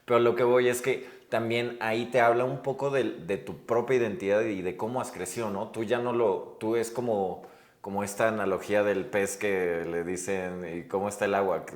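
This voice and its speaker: A man's voice: on the low side, steady tone, moderate pace. A Mexican man hosting a podcast, chatting in a reflective, rambling way and often checking in with his guest. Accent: Mexican Spanish. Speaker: podcast host. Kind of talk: casual interview chat. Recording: roomy echo.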